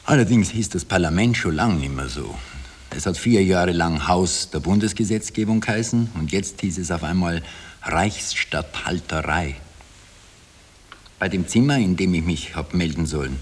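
A man's voice: deep voice, breathy edge, exaggerated voice. An actor narrating a radio drama as a wry Austrian everyman, confiding his story with self-deprecating irony. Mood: rueful. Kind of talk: first-person narration in 1960 radio drama.

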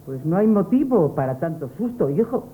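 An actress playing a proud, devoted, spurned sweetheart, earnest and pleading, in a Spanish radio play. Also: female voice, on the low side, big pitch swings, moderate pace. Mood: anxious, defensive. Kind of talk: Spanish radio theatre acting, 1970s. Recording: thin, tinny, noisy old radio broadcast.

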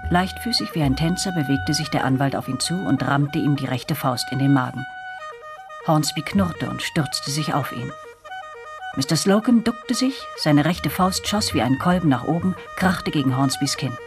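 An actress playing a first-person storyteller, narrating tense events in a German radio drama. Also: female voice, sits low, expressive, moderate pace. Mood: recounting. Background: music.

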